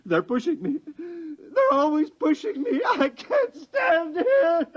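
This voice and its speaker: A woman's voice: very high voice, sing-song, slow, exaggerated voice. A woman playing a terrified, hysterical patient in a radio drama, begging breathlessly through sobs and broken laughter. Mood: panicked, sobbing. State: crying, short of breath. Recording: dull, lo-fi old radio recording.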